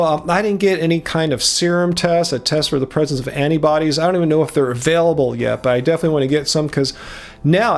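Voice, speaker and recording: Gravelly-voiced American man, slightly high, earnest casual vlogger. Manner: earnest and explanatory, with frequent restarts. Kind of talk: casual vlog talk to camera.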